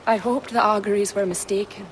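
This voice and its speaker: A woman's voice: medium pitch, fairly even intonation, brisk, close to an everyday voice, poor audio. Actress as a grave druid woman, knowing, faint background noise. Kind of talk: video game dialogue.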